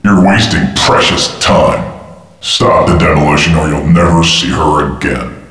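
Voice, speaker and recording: Menacing male kidnapper, extremely deep voice, cavernous long reverb, game villain. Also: unhurried, a bit bright, soft background music. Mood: threatening. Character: menacing anonymous male kidnapper making threats. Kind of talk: video game voice acting.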